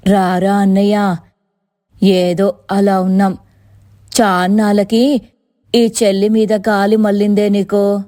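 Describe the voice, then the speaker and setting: A woman voicing a weary, pleading middle-aged village mother-in-law in an animated story, coaxing and complaining in drawn-out phrases. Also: medium pitch, pitched below the performer's own voice, natural voice. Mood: affectionate.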